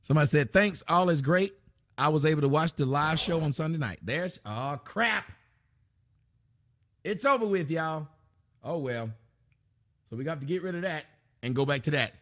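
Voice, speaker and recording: Man, livestream host, slightly high voice, profane and casual, dry close mic. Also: expressive, normal speed, pauses often, a bit bright, dry recording. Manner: loose, profane, reads donations and chat aloud. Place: small indoor room at a desk.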